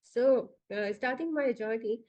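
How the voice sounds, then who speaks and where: A woman with a Pakistani accent and a fairly high voice addresses an online orientation over a video call. She talks in a loose, hesitant way, full of ums and uhs, stopping now and then to ask for the next slide. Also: measured pace, a little mellow. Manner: hesitant, many fillers, directs slide changes. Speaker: institute founder giving orientation.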